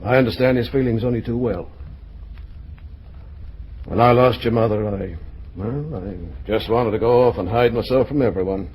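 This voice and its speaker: An actor playing an earnest, gently reproachful middle-aged father in an old-time radio drama. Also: mid-range voice, normal speed, pauses often, husky, close to an everyday voice, rough recording. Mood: grave, confiding.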